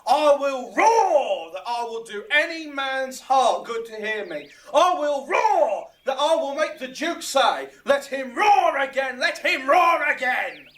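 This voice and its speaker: Boastful amateur player, self-important, slow theatrical delivery, wildly lively, slightly off-mic. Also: male voice, very high voice, far from the mic, heavily acted. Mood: boisterous. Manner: hammy, showy, self-important. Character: boastful, eager amateur actor, a swaggering workman. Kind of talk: theatrical Shakespearean audio drama acting.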